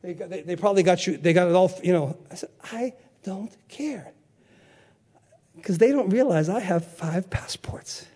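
An older male preacher with a very high voice delivers a sermon. He repeats key phrases for emphasis and throws out questions for the congregation to answer back. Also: moderate pace, dark tone, slightly rough. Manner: call-and-response, emphatic repetition.

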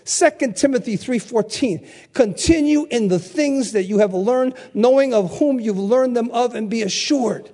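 High-voiced emphatic older male preacher. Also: moderate pace, warm tone. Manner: call-and-response, emphatic repetition. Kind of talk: sermon.

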